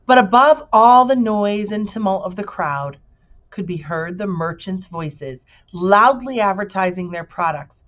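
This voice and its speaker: A woman's voice: mid-range voice, expressive, measured pace, fairly bright tone. A woman reading a children's story aloud expressively, like a teacher addressing pupils, in a dull recording.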